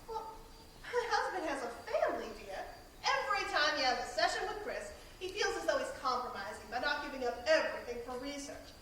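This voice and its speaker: A woman on stage playing a bitter, sharp-tongued doctor's wife, wry and condescending with sugary endearments. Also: high-pitched, very animated, brisk. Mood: confiding. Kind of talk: theatrical stage play acting. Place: theater stage, captured from far off. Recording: distant echoey stage recording with hiss.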